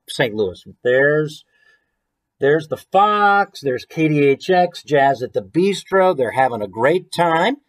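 A man's voice: fairly high pitch, breathy edge. An American man in his thirties reading a children's book expressively, with chatty asides and questions to the listeners. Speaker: children's author reading own book to viewers. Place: small room at home, indoors.

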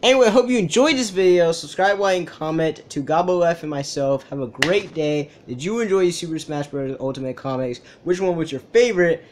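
A man's voice: fairly high pitch, fairly quick, few pauses, slight background noise. A young adult man giving casual reaction commentary as a video host, recorded indoors at home. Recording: music playing over the voice. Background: music and cartoon dub audio.

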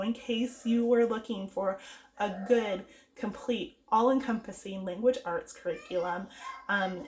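Chatty homeschool mom getting over a cold. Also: female voice, mid-range voice. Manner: chatty, rambling, many fillers and restarts. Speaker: homeschool mom reviewing curriculum. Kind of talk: casual video review. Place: home room with bookshelves.

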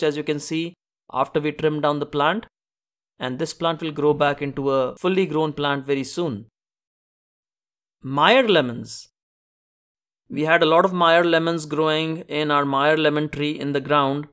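A man's voice: a little high, fairly bright tone, clean tone. A man hosting a gardening show, narrating an instructional tour in an enthusiastic, explanatory way. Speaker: host of a home gardening show.

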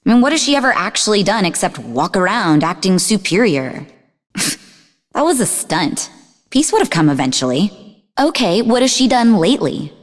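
Snarky, animated young psychic in reverb. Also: female voice, medium pitch, moderate pace. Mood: dismissive. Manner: sarcastic, teasing. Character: sarcastic young woman psychic, snarky, sharp-witted, easily exasperated. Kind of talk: video game voice acting.

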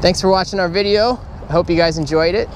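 A man's voice: sits high, fast, a little hiss or hum, poor audio. A young adult man giving a casual explanation to camera as a vlog host, recorded outdoors. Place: outdoors in a park. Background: music.

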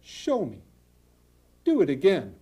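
A man voicing a wise old male goat in a told story, speaking in a dry, knowing, unhurried way. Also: a little high, wildly swooping pitch, mellow, breathy edge, full character voice. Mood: sly calm.

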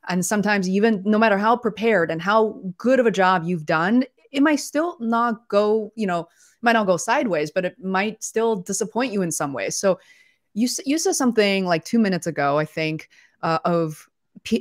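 A woman's voice: mid-range voice, animated, moderate pace. A woman hosting a casual interview over a video call, asking questions and encouraging her guest with frequent "mm-hmm". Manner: warm encouraging listener, many "mm-hmm" cues. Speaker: interview host. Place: home studio, indoors. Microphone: desk microphone on a video call.

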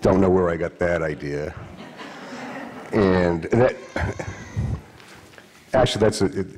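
An older author recalling his past on stage, halting and soft with many pauses. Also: low voice. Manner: halting, storytelling, lots of fillers and restarts trailing soft. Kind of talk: onstage conversation. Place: stage of a hall with an audience. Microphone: handheld mic. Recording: long hall reverb.

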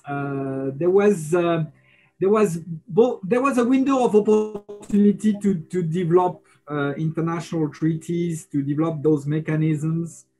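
Reflective man, soft warm tone. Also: on the high side, rough recording. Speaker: panelist. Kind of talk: video-call panel discussion. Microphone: video call.

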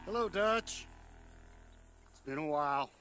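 A man's voice: fairly high pitch, lively intonation, measured pace, sharp tone, soft background music. An actor playing a sly rival gang boss in a Western game, mocking and needling as he talks. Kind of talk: game cutscene dialogue.

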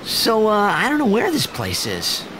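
A man's voice: high voice, huge pitch swings, a little slow, noisy background, heavily acted. An actor playing an earnest, unsure teenage boy athlete, the boyish hero of a video game. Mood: flustered. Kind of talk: video game dialogue and narration. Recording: music behind the voice.

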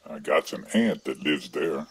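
A man's voice: a bass voice, lively intonation, measured pace, a bit bright, slight background noise, heavily acted. A man playing a folksy, literal-minded, exasperated rustic in an absurdist radio comedy. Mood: folksy, puzzled. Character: exasperated rustic country man with a plumbing problem.

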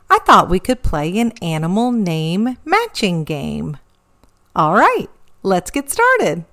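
A middle-aged woman hosting a read-aloud for young children, speaking in an animated, carefully enunciated teaching style. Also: on the low side. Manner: animated, slow, careful enunciation, sounding out and spelling words. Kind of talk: storybook reading and interactive teaching for young children.

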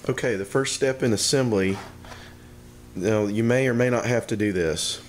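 A middle-aged man, a little hoarse from the flu, explaining a DIY build in his home workshop, plain and halting with many ums. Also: fairly low pitch, roomy sound, slight background noise. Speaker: DIY maker. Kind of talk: casual how-to explanation.

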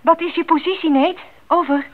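High-voiced woman radio operator. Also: steady tone, normal speed, slight background noise. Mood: businesslike concern. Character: caring, capable woman radio operator at a mission post. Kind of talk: 1980s Dutch radio drama acting. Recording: narrow tinny radio sound.

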